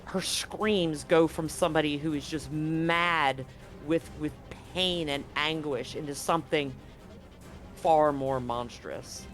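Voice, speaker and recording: A man with a fairly high-pitched voice runs a tabletop horror game. He narrates dramatically and graphically, dropping in casual slang. Light music plays behind him. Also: slow, fairly bright tone. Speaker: game master.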